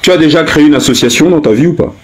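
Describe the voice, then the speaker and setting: A man in his fifties from Cameroon, host of a live stream, grilling a guest with pointed, repeated questions in a heated debate. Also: a little high. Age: 50s. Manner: pointed, hammering questions, drawn-out stresses.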